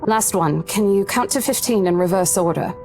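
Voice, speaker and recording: A woman voicing a worried, caring coworker in a horror visual novel, calm and reassuring. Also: mid-range voice, normal speed, soft background music, lightly acted. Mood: checking.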